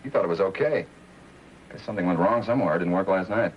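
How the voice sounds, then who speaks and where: A man's voice: sits low, fast, lightly acted. An actor playing a methodical American police lab man, terse and matter-of-fact in 1950s drama style. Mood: businesslike. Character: brisk, methodical police crime lab technician, male. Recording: muffled, noisy 1950s TV soundtrack.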